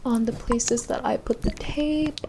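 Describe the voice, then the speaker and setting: A woman playing a gently unsettling inhuman examiner in an ASMR horror roleplay, softly instructing and reassuring, drifting into whispers close to the microphone. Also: fairly high pitch, steady tone, unhurried, creaky, noisy background. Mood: reassuring, attentive. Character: gently unsettling female entity examiner preparing a human.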